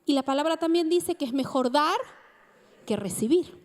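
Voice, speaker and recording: An Argentine woman with a faintly Mexican lilt preaches a sermon in a high, bright voice. She keeps drawing in her listeners with questions. The sound rings in a reverberant hall. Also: animated, moderate pace. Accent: Argentine Spanish with a touch of Mexican. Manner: engaging, asks the congregation questions.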